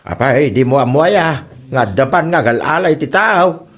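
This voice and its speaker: A man's voice: fairly high pitch, expressive, a little slow. A man playing a grumbling, cautious villager in a comedy audio drama, remonstrating. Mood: anxious, grumbling. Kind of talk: comedy radio drama acting.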